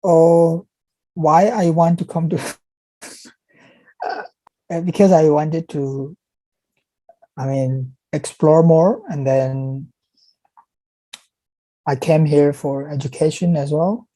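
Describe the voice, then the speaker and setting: Slow, very dark-voiced young man. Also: on the high side, fairly even intonation, no room sound, low-quality recording. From Pakistan. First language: Urdu. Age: young adult. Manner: halting, with frequent restarts. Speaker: guest speaker answering viewer questions. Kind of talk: casual question-and-answer chat.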